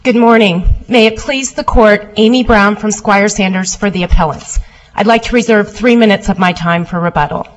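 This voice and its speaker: A woman lawyer giving a formal oral argument before appeals judges, loud and steady as she answers questions. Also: mid-range voice, moderate pace, a little hiss or hum, poor audio. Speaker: appellate lawyer.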